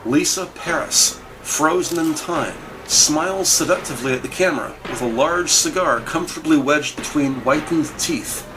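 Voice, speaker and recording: A man voicing the narrator of a crime audio drama, describing scenes in a measured, wry, scripted way. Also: on the high side, background noise, lightly acted. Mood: lingering, descriptive.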